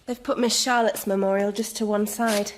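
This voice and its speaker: A woman's voice: mid-range voice, steady tone, moderate pace, natural voice. An actress as a candid village girl, noisy ambience. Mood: fond. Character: plain-spoken, friendly Victorian village young woman. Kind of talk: radio drama dialogue.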